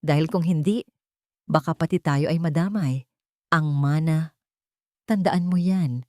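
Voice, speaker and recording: Woman reading as a low, menacing schemer. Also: unhurried, mellow, no echo, clean studio sound. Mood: threatening. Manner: low, threatening. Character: menacing, scheming rich man.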